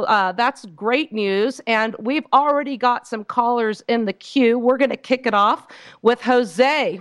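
Slightly hoarse woman radio host. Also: mid-range voice, dry recording. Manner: chatty, announcement-reading with frequent uh fillers. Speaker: radio talk show host. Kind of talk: call-in radio talk. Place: radio studio. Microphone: studio microphone.

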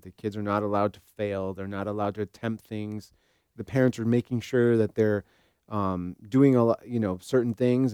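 A man's voice: lowish voice, darkish tone, clear, resonant tone, no echo, studio quality. A middle-aged American man co-hosting a podcast as a therapist, talking casually and giving his opinions in a conversational way.

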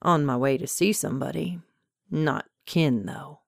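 Woman voicing a soft-spoken young man, lowish pitch. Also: gravelly, no echo, clean studio sound. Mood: reserved. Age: young adult. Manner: soft-spoken, polite, unhurried. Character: calm, mysterious young man who is Death, polite.

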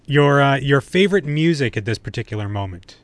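A man with a middle-range voice works as a podcast interviewer. He speaks in a casual, conversational way, moving between short questions and relaxed chat. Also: medium pitch, a little fast, no echo.

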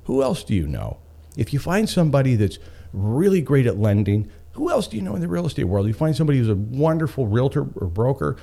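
Male motivational host: mellow tone, highly animated pitch, emphatic storyteller who leans on repetition. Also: quick pace, creaky. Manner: emphatic, repeating phrases for effect. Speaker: podcast host and author.

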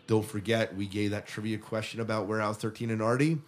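A man's voice: lowish voice, flat delivery, fast talker, slight background noise. A man hosting a podcast in a chatty, informal, casual way. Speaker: podcast host and interviewer.

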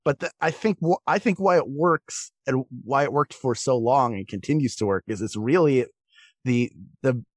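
A man's voice: medium pitch, normal speed, husky, dry recording, clean studio sound. A man speaking as a podcast guest in casual, analytical discussion, with an earnest, emphatic manner.

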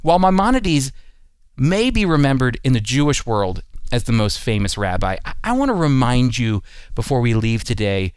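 A man teaching the Bible in an earnest, emphatic and deliberate way, in a sermon style. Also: medium pitch, clear, resonant tone, no echo, studio quality.

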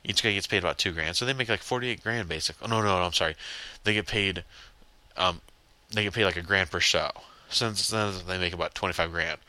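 A young man hosts his own podcast, rambling and cursing bluntly with a stuffed-up, congested nose. His voice sits very low yet comes across sharp and pushy. The sound is dry, close and without room echo. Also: fairly quick. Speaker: podcast host. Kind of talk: casual solo podcast rant.

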